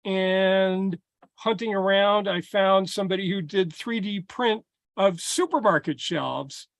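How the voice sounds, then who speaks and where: A man casually explaining how he built a model, in a relaxed, explanatory way with a few fillers. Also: high-pitched, measured pace, creaky, no room sound. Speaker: hobbyist presenting his model. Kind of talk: casual show-and-tell explanation.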